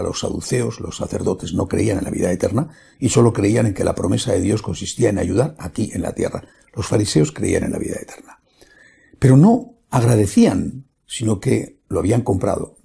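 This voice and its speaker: A man's voice: mid-range voice, gravelly, warm tone, breathy edge. A middle-aged man, a priest, preaching an earnest homily in a church, repeating phrases and building emphasis. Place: church sanctuary.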